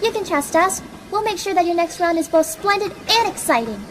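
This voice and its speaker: A woman as a very high, perky girl. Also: animated, a little fast, fairly bright tone, a little hiss or hum. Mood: upbeat. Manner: playful, persuasive. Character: perky, coaxing, cheeky young girl.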